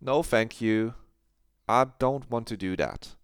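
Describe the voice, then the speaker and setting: A man's voice: mid-range voice, takes it slowly, clear, resonant tone, dry recording, clean studio sound, voice pushed up, natural voice. A man voicing a sullen, guarded teenage schoolgirl who answers curtly and brushes off concern. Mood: evasive. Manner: evasive, curt, defensive.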